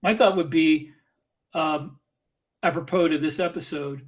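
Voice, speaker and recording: A man co-hosting a casual podcast, giving his view in a plainspoken, measured way. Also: on the high side, steady tone. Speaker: podcast co-host and writer. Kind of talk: casual panel discussion.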